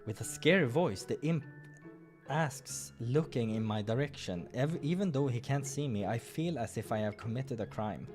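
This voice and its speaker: A man narrates a visual novel playthrough in a mid-pitched voice, slipping between story reading and casual commentary. Music plays clearly behind him. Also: lively intonation, normal speed, darkish tone. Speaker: game playthrough host and narrator.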